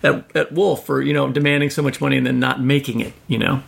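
A man's voice: mid-range voice, little pitch movement, fast, vocal fry. A man hosting a podcast, telling anecdotes casually with informal asides. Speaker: podcast host.

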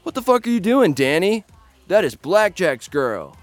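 A man puts on a hostile henchman in an audio drama, loud and confrontational. His voice jumps well up in pitch, indignant and threatening. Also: very animated, normal speed, no room sound, soft background music. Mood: outraged. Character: hostile male fighter's crony, roaring threats.

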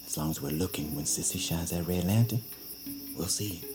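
Resolute Southern father in bondage played by a man, low and grave, often whispering, with loud music and crickets behind him. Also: normal speed, lightly acted. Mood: watchful, firm. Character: resolute enslaved father leading his family's escape. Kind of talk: dramatic audio fiction acting. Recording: music bed, night crickets.

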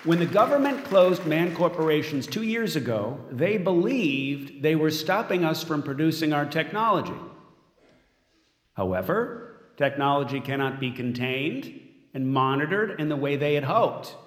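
A man's voice: fairly high pitch, very animated, normal speed. An actor playing a smug, controlling tech mogul in an audio drama, giving a polished, self-satisfied speech as he unveils his android. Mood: proud, boastful. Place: large event room as staged, crowd behind. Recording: echoey hall with crowd behind.

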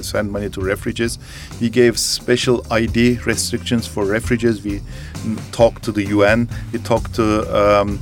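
A man being interviewed as a company executive, answering earnestly in halting phrasing with frequent fillers. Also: lowish voice.

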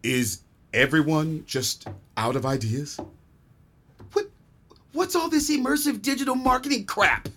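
Irascible male producer, husky, high-set sharp voice, blunt, scornful, audio comedy. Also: measured pace, pauses often, heavily acted. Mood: outraged. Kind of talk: audio comedy drama acting.

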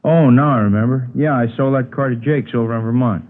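An actor playing an evasive car thief who hedges and plays dumb in a 1950s radio crime drama. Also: male voice, medium pitch, brisk, lightly acted. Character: evasive male car thief at his garage. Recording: echoey, tinny 1950s radio recording.

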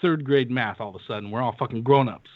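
A man's voice: mid-range voice, fast talker, phone-quality sound. A man co-hosting a casual sports podcast, talking bluntly and swearing with exasperation. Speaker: podcast co-host.

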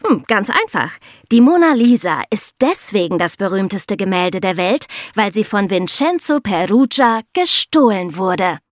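Woman, high-pitched, animated intonation, colloquial podcast talk, emphatic explainer, dry sound. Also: measured pace, no echo. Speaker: podcast co-host. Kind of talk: lively explanatory talk with co-hosts.

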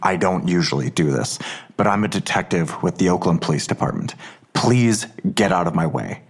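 Weary, husky low-voiced detective. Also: male voice, expressive, moderate pace, full character voice. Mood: impatient. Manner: terse, guarded. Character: weary, defensive male police detective and anxious father. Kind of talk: full-cast audio drama.

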